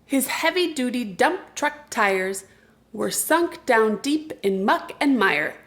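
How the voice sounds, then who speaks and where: A woman narrates a picture book for young children. Her voice sits very high. She reads slowly and expressively, keeping a deliberate rhythm. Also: sing-song, a bit bright. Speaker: children's storytime reader.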